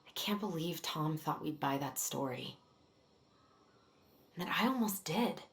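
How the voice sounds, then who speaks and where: A woman's voice: low voice, expressive, unhurried, husky, heavily acted. An actress playing a troubled, intense young woman in a video game, speaking with earnest emotional weight. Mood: subdued. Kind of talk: naturalistic video game cutscene acting.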